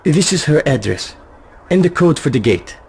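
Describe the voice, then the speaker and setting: A nervous informant with a creaky, animated voice. He sounds defensive and hesitant, always trying to placate, in a video game scene with faint noise behind. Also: on the high side, normal speed. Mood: eager, uneasy. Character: nervous, shifty male informant, eager to placate. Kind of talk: video game cutscene voice acting.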